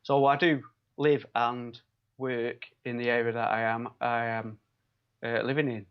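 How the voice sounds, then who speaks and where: A man's voice: mid-range voice, level tone, unhurried, clear, resonant tone, highs cut off. A man with a Manchester accent, a guest speaking earnestly on a video call with many ums and restarts. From Manchester, England. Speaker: guest, biomedical scientist. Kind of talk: casual interview talk.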